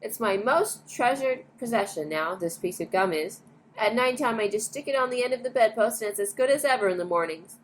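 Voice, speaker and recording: A woman voicing a loud, brash, boastful young girl who chatters away as a storybook character. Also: a little high, animated, a little fast, voice pushed up, close to an everyday voice, rough recording. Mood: proud, boastful. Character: loud, boastful, fast-talking gum-chewing young girl. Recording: clear background noise.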